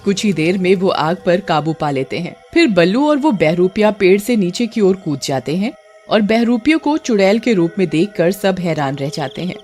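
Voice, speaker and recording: Animated woman narrating a story. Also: lowish voice, normal speed, faint music behind. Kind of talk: scripted dramatic story narration.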